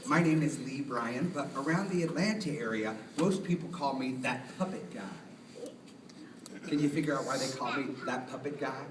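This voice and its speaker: A man's voice: mid-range voice, moderate pace, noisy background. A man, a puppeteer, playfully introducing a live show to children and putting questions to his audience. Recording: echoey room, distant mic, music behind.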